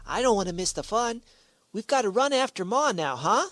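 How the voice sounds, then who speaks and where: A woman's voice: mid-range voice, big pitch swings, normal speed, very noisy, close to an everyday voice. A woman playing an eager, obedient small village boy in a radio drama, speaking politely.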